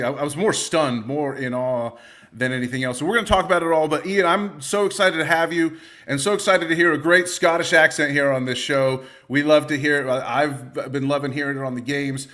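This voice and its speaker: A man hosts a soccer podcast. He talks loosely and jokes a lot, with frequent pauses to think. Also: fairly high pitch, pushed and bright. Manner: casual, lots of uh fillers, jokey. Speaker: podcast host. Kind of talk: casual podcast hosting.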